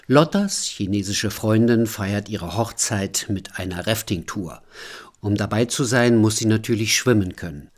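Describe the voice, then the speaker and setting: A man narrating a radio documentary feature in a calm, measured way. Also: fairly low pitch.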